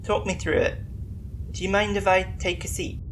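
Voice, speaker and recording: An actor playing a calm, empathetic alien colony doctor in a science-fiction audio drama, speaking in a gentle, reassuring way. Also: mid-range voice, normal speed, a little hiss or hum, lightly acted, poor audio.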